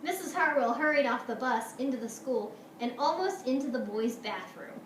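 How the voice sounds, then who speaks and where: A woman teacher doing an expressive picture-book read-aloud for her pupils. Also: high-pitched, normal speed, distant mic. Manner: expressive, animated storytelling. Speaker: schoolteacher reading to pupils. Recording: roomy, echoey, noisy home-style recording.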